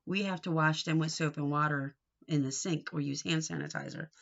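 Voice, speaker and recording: A woman reading a picture book aloud to young children in an expressive, sing-song way, coaxing them with questions. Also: low-pitched, brisk, muffled recording.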